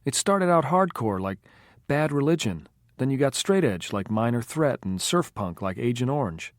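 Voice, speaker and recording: A man voicing a spirited young woman who is a punk fan, in an emphatic, lively way. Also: medium pitch, normal speed, dry recording, clean studio sound, pitched above the performer's own voice, lightly acted. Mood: insistent.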